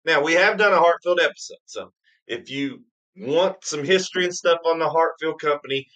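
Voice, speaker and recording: A man's voice: fairly high pitch, normal speed, a bit bright, poor audio. A man hosting a bourbon tasting live stream, chatting eagerly and rambling through his stories. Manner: enthusiastic, rambling storyteller. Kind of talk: casual live-stream banter.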